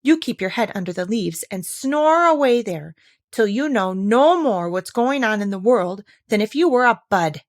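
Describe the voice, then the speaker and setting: A woman voicing a bossy, excitable tiger lily in a storybook, with an imperious, scolding manner. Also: mid-range voice, moderate pace, no echo, clean studio sound, pitched above the performer's own voice, lightly acted.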